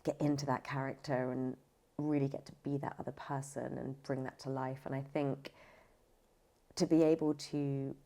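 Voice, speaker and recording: A woman with a low voice and plenty of vocal fry talks in a casual interview style. She speaks emphatically and often circles back to rephrase her point. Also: flat delivery, moderate pace, dark tone. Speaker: voice actor, audiobook narrator, podcast guest.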